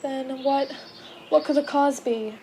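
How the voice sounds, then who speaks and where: A teenage girl playing a gentle, loyal and concerned wife in a radio drama. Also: high-pitched, fairly even intonation, slow pace, a little hiss or hum. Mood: tender, reassuring. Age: teens, high school student.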